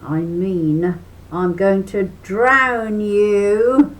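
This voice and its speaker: A woman's voice: extremely low pitch, expressive, quick pace, gravelly, darkish tone, voice pushed down, exaggerated voice, rough recording. An older woman voicing a sly, gleeful male fox who howls with glee and snarls. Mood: scheming. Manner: howling then snarling.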